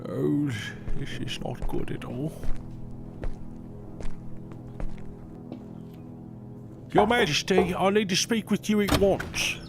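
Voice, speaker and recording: An actor playing a stern, proud royal advisor who speaks formally and with clipped authority. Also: male voice, sits high, animated, normal speed, gravelly, music in the background. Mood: urgent. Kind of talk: audio drama acting.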